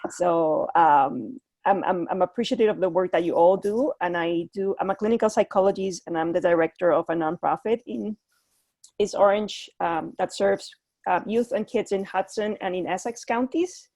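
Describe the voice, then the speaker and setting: Puerto rican lady, spanish first language, lowish voice, bit hesitant, intro on a panel, dry close sound. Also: moderate pace, darkish tone, dry recording. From Puerto Rico. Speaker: panelist, clinical psychologist. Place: online video call.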